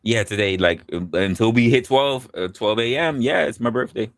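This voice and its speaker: A man in his forties hosting a casual live stream chat. He is relaxed, laughs often and repeats himself as he talks. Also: mid-range voice, moderate pace, no echo. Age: 40s. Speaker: host.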